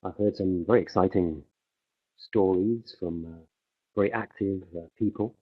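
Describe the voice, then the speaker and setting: A deep, husky male voice interpreting a conference talk live, pausing and restarting as he tracks the speaker. The sound is thin and phone-like, as if it came through a narrow livestream feed from an interpreter booth. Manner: halting, many fillers and restarts while keeping pace with the speaker. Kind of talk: simultaneous interpretation of a conference speech. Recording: tinny phone-like livestream audio.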